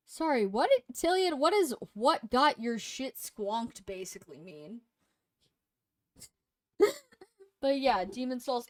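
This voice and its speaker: A woman's voice: mid-range voice, dry recording. A young woman co-hosting a casual game review chat, rambling with lots of fillers and swearing, a bit sniffly and losing her voice. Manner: rambling and profane, with many fillers. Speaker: co-host reviewing games.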